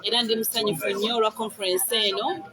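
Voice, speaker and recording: A woman's voice: mid-range voice, steady tone, quick pace. A woman from Uganda with a Ugandan accent, giving a fervent, rousing address at a conference. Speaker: conference attendee. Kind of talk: impassioned speech to a crowd. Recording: crowd noise behind.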